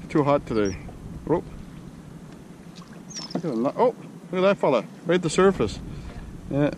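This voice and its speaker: A man's voice: on the high side, very animated, moderate pace. A man filming himself fishing from a small boat outdoors, giving playful, exclaiming commentary and humming to himself. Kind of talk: casual running commentary. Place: small motorboat on a lake, outdoors. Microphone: action camera on the boat. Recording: loud wind buffeting the mic. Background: wind and boat noise.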